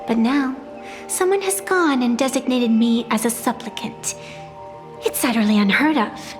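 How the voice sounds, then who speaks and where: A woman's voice: fairly high pitch, normal speed, lightly acted. A woman voicing a playful, teasing ancient tree spirit who oversees deadly games, in a game cutscene. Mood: proud. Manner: teasing, amused, mocking. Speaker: game character. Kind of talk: video game voice acting. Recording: game cutscene with reverb and music.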